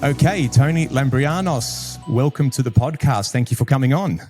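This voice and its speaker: A man's voice: mid-range voice, moderate pace, darkish tone, soft background music. A man hosting a podcast, introducing his guest and putting questions to him. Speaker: podcast host.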